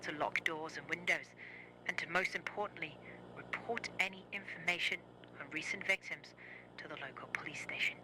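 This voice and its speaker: A performer playing a formal, measured news reporter reading a scripted bulletin in a horror series. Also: mid-range voice, noisy background, natural voice. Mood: grave, formal.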